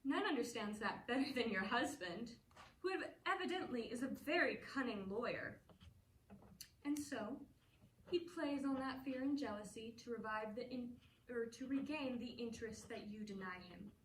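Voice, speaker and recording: A wry, self-assured stage actress , played by a woman with a somewhat high voice, teasing and poised. Her lines carry a roomy echo and sound caught from some distance off, with a faint hiss behind. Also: normal speed, natural voice. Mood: amused. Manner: poised, wry, gently mocking. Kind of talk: stage play acting.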